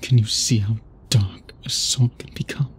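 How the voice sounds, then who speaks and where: A man playing a centuries-old vampire suitor in an intimate ASMR audio drama, speaking in formal, archaic, deliberate phrases with a breathy, husky voice. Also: fairly low pitch, fairly even intonation, slight background noise, close to an everyday voice. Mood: menacing, obsessive. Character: centuries-old male vampire suitor, possessive, courtly, brooding.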